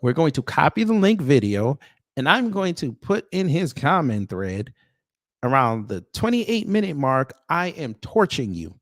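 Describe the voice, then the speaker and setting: A man with an American accent hosting off-the-cuff online commentary in a sarcastic, confrontational manner with pointed pauses. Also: a little high, big pitch swings, no echo, studio quality. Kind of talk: off-the-cuff opinion commentary, reading posts aloud.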